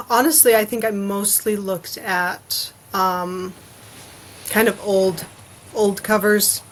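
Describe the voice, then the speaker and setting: Thoughtful woman with medium-pitched voice. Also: steady tone, extremely slow, pauses often, slight background noise. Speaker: guest author. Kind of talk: casual interview chat.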